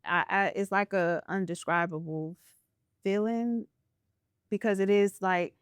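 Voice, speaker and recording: A woman's voice: mid-range voice, fairly even intonation, measured pace, clean tone, no room sound. A woman podcast host talking in an earnest, reflective way as she shares a spiritual story in a casual interview.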